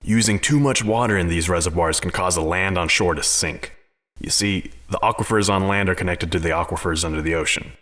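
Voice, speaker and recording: A man's voice: low-pitched, a little fast, a bit bright. A man playing an unflappable, bookish advisor who explains odd theories matter-of-factly in a sci-fi audio drama.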